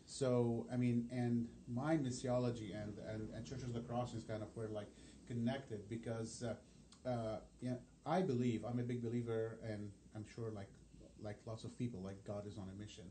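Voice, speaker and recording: A man's voice: mid-range voice, fairly even intonation, moderate pace, mellow, a little hiss or hum. A man who is a native Arabic speaker, a missions pastor talking as an interview guest, hesitant with many fillers and restarts.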